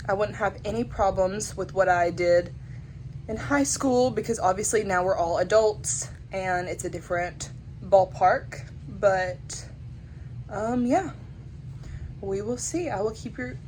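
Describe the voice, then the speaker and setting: A woman's voice: mid-range voice, unhurried, a little mellow, a little hiss or hum, low-quality recording. Chatty 18-year-old woman vlogging. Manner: chatty, lots of "like" and "um" fillers. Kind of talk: casual vlog chat. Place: at home in a bedroom. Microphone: phone or camera on a tripod.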